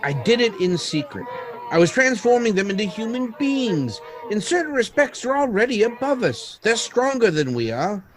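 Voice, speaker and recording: Man acting an anguished, high-pitched radio drama scientist. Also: sing-song. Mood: anguished, confessional. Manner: halting, grave, dramatic. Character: anguished male scientist confessing to tampering with robots.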